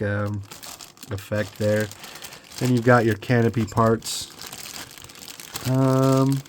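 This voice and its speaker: Young guy with a stuffy cold, slow rambly talk, lots of ums, faint hiss behind. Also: medium pitch. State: congested from a cold. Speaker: scale model builder. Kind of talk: casual unboxing chat. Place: home hobby workbench.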